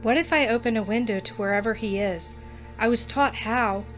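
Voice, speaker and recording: A woman's voice: fairly high pitch, normal speed, soft background music, close to an everyday voice. An actress playing a practical young female student with magic powers who talks earnestly and keeps proposing plans.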